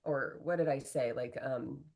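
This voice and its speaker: A woman's voice: extremely low pitch, unhurried, vocal fry. A middle-aged woman, a relationship coach, speaking earnestly and candidly in an interview and often tagging her points with "right?". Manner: earnest, animated, fillers like "right?".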